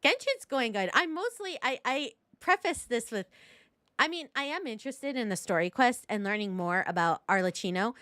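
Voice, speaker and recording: Higher-pitched woman streamer, dry close mic. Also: expressive, normal speed, pushed and bright, no room sound. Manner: chatty, reactive, informal. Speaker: game streamer. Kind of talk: casual live reaction commentary.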